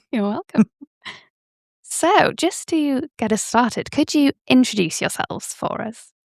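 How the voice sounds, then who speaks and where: Bubbly woman podcast host, bright higher voice. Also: expressive, takes it slowly, gravelly, dry recording, studio quality. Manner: bubbly, laughs often, encouraging. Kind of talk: podcast interview.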